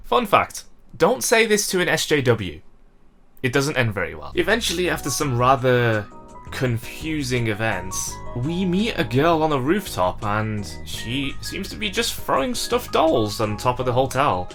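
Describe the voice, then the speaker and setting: A man's voice: mid-range voice, sing-song, measured pace, a bit bright, faint music behind. A man narrating a game review in a casual, comedic, chatty style with theatrical exasperation.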